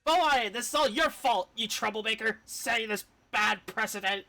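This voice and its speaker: A woman's voice: a little high, moderate pace, bright, forceful tone. A woman voicing an exasperated, blunt little robot companion from a game, scolding and accusing. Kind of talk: game dialogue read aloud in character.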